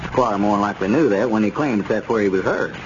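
An actor playing a sensible, plain-spoken small-town adviser in a 1930s radio serial. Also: male voice, on the low side, brisk, close to an everyday voice. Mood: knowing. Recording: muffled, noisy 1930s radio recording.

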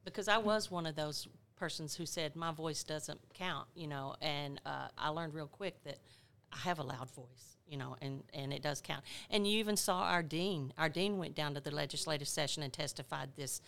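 A woman nurse advocate speaks in a podcast interview. Her voice is gravelly and bright, yet smooth. She pauses often, restarting her sentences as she works through details. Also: deep voice. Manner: halting, frequent "uh" restarts while recalling details. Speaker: nurse educator and health advocate.

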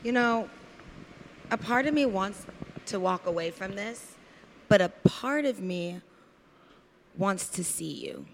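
A woman playing a lonely, doting cat lady drawn to a killer in improvised comedy, earnest and halting. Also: mid-range voice, big pitch swings, slight background noise, poor audio. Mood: tender, probing. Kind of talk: improvised comedy parody scene.

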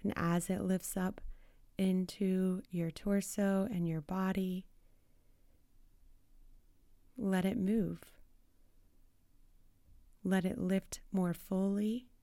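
A woman guides a meditation at a very unhurried pace. Her voice is dark and relaxed, soothing, with soft breathy phrase endings and long pauses. The recording is close and dry. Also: mid-range voice, no room sound.

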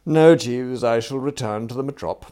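A man voicing an excitable young upper-class English gentleman in an audiobook, with a blustering, exclamatory, slangy manner. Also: mid-range voice, normal speed, pitched above the performer's own voice, lightly acted. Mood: airy. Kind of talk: acted audiobook dialogue.

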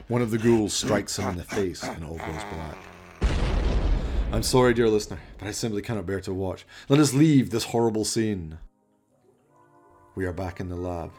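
A man reading scripted radio play narration in a melodramatic storytelling manner. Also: deep voice.

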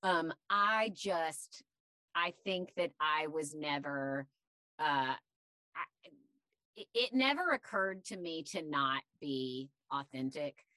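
A woman voice actor with a deep voice, talking candidly and chattily in an interview, with many fillers. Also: animated, slow pace, sharp tone, no room sound. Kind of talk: casual interview chat.

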